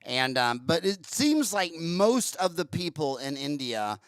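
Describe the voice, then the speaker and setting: A man's voice: on the high side, sing-song, measured pace, smooth, no room sound. A man hosting an interview podcast, rambling and searching for words with many ums. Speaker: podcast host. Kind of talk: casual interview chat.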